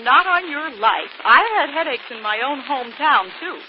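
A woman's voice: high voice, very animated, moderate pace. An actress playing a chipper, folksy small-town woman with emphatic delivery in a 1930s radio advert. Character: chipper small-town female visitor in a commercial. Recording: muffled, noisy old radio recording.

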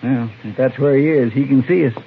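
A man's voice: mid-range voice, a little fast, lightly acted. An American man playing an earnest, loyal, folksy deputy in an old-time radio western, deferential to his boss. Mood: earnest, puzzled. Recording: noisy, tinny old radio recording.

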